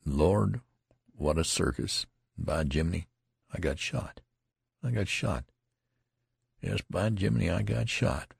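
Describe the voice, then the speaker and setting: A man voicing a dazed, fearful dying soldier, talking in a halting, shaky, repetitive way. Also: low-pitched, gravelly, a little mellow, dry recording, studio quality. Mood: bewildered.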